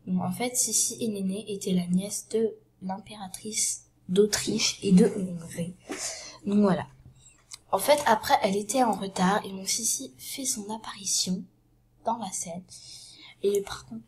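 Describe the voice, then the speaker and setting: A woman with a cold retelling a story in a casual, halting way, often dropping to a hushed half-whisper and filling pauses with fillers. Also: mid-range voice. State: has a cold.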